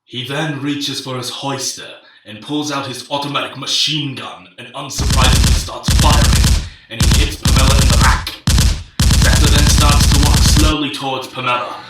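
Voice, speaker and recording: A man's voice: mid-range voice, fairly even intonation, moderate pace. A man narrating a scripted audio drama, sounding roomy and distant, with guitar music behind him. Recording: roomy, far from mic. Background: loud guitar music.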